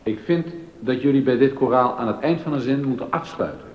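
An actor playing a stubborn, indignant composer and choir director in a docudrama, with an emphatic, commanding, formal delivery. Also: male voice, medium pitch, fairly quick, close to an everyday voice. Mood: instructing, firm. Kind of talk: Dutch docudrama acting. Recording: dull, lo-fi sound.

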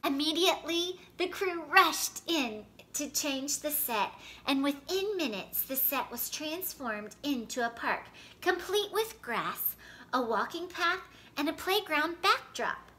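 A woman in her thirties reading a children's picture book aloud in an expressive, animated way. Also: high voice, slow. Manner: animated, clearly paced storytelling. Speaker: storyteller reading picture books. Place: indoor living room by a fireplace.

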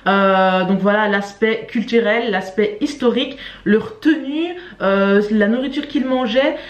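A woman's voice: medium pitch, measured pace, lo-fi sound. A young adult woman chatting casually and expressively to camera in an informal vlog, often stressing her words. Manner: informal, expressive, emphatic repetition. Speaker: YouTube vlogger presenting to camera. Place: small room at home. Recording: roomy indoor echo.

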